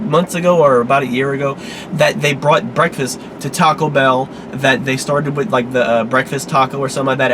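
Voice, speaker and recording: A man hosting a casual food review vlog, talking in an easygoing, rambling way with many fillers, sounding a little congested and hoarse. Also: medium pitch, background noise.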